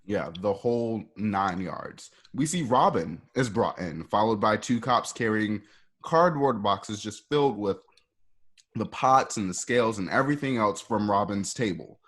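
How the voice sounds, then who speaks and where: A man's voice: on the low side, lively intonation, unhurried, fairly bright tone. A man narrating screenplay action for a radio play in an informal, hesitant way, with conversational asides. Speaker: narrator reading stage directions.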